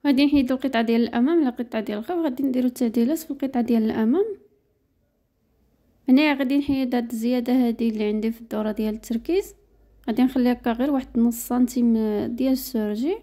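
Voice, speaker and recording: Woman, Moroccan Arabic accent, sewing teacher doing a voice-over; quick, matter-of-fact, high and mellow. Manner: brisk, matter-of-fact, reading out measurements. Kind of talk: step-by-step sewing tutorial voice-over.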